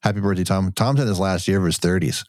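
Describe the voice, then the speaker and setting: A man's voice: sits low, fast, darkish tone, dry recording, clean studio sound. A man co-hosting a casual podcast, congested from a lingering cough, loose and profane, laughing often. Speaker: podcast co-host. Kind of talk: casual chat.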